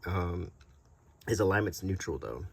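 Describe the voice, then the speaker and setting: A middle-aged man doing a casual, unscripted show-and-tell as a video host, talking in a halting, rambling, conversational way. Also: deep voice, expressive, creaky, slightly rough. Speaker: YouTube host showing vintage tabletop game monster cards.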